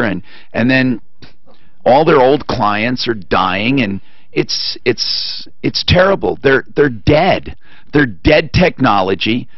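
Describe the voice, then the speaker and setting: A man's voice: no room sound, dull audio. A man hosting a call-in radio show, talking with opinionated, emphatic rants into a studio mic. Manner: opinionated ranting, emphatic swings in pitch. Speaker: radio talk show host. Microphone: studio boom mic with headphones.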